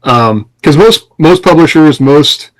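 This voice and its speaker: A man's voice: a little high, expressive, slow, poor audio. A man co-hosting a casual panel podcast, giving practical advice with a self-deprecating, lightly amused manner. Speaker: podcast co-host and author.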